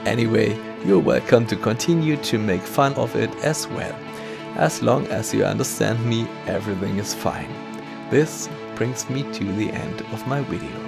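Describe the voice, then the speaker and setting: A man's voice: fairly low pitch, moderate pace, vocal fry, music in the background. A man with a German accent narrating a scripted electronics tutorial step by step. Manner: methodical step-by-step explaining. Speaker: maker channel host.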